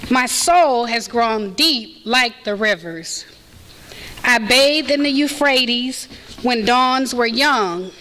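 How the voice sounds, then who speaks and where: Slow bright-voiced woman reading poetry. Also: fairly high pitch, lively intonation. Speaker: student reader at a read-in. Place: university center event room. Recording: long hall reverb, faint ambience.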